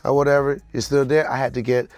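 A man's voice: mid-range voice, level tone, fast, a little mellow, dry recording. A man co-hosting a studio talk panel, brash and hyped in casual chat. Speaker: co-host. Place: studio set.

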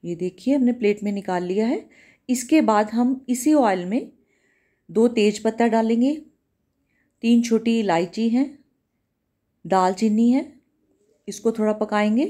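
A woman cooking-channel host, giving a calm, step-by-step recipe voice-over in a home kitchen. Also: a little high, measured pace, pauses often. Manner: calm, instructive, repeats steps.

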